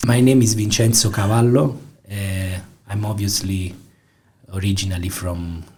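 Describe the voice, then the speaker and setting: A man's voice: on the low side, fairly even intonation, unhurried, darkish tone, a little hiss or hum. A man in his forties from southern Italy with a Neapolitan Italian accent, a film director speaking as a studio guest with many fillers and restarts. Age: 40s. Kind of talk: interview guest.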